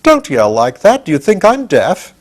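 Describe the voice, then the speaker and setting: A man's voice: fairly high pitch, wildly swooping pitch, moderate pace, pitched above the performer's own voice. A man voicing an earnest, newly living pumpkin-headed man with a grave, wordy manner, in a storybook reading. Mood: reproachful.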